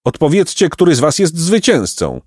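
A man's voice: on the high side, very animated, moderate pace, a little mellow, no echo, pitched above the performer's own voice. A man playing a stern storybook count who is imperious and demanding. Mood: imperious anger. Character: vain, stern count ordering cruel punishments.

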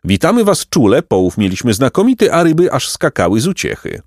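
A man's voice: mid-range voice, big pitch swings, a little fast, no room sound, studio quality, voice pushed up. A man playing a clever, courteous young boy with a slyly solemn, teasing manner in a storybook. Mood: mock-polite. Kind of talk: storybook character voice.

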